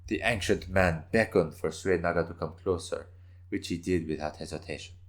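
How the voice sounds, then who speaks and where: A man with a low voice reads a scripted tale as narrator. His delivery is intense and theatrical, made for a dramatic story reading. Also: fairly even intonation, normal speed.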